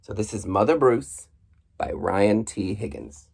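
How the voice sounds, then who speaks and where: A man reading a children's book aloud in an expressive, playful storybook style, with a husky voice. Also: fairly low pitch, measured pace. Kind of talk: animated storybook read-aloud.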